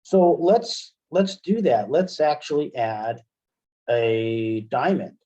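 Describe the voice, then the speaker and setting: A middle-aged man with an American accent walks through a software tutorial in an informal, halting manner. His voice is soft-edged and relaxed, and the sound is a little dull, as on a video call. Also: mid-range voice. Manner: informal, halting, checks in with "right?". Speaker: webinar presenter and instructor. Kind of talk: software tutorial, explaining step by step. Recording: muffled, dull computer-call audio.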